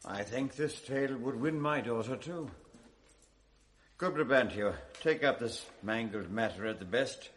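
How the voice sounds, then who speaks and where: A man's voice: medium pitch, expressive, unhurried, a bit bright, a little hiss or hum. A man playing an authoritative duke presiding over a council in a 1980s radio drama, with formal, commanding address.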